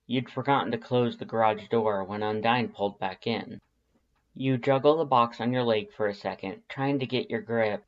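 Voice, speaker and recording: Audiobook narrator, mid-range pitch, scripted storytelling, slightly dull audio. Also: steady tone, normal speed. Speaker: fan fiction narrator.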